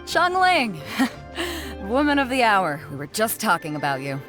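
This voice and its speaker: A woman's voice: on the high side, lively intonation, a little slow. A woman voicing a bold, hearty sea captain in a video game, boisterous and blunt. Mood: welcoming, hearty. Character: bold, hearty adult female sea captain who likes drink. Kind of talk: animated video game character acting. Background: music.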